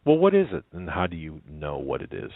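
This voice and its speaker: A man hosting a talk radio interview, reading a listener email in a halting way with many restarts. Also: extremely low pitch, wildly swooping pitch, gravelly, dry recording. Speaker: radio host. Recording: dry tinny phone-like line.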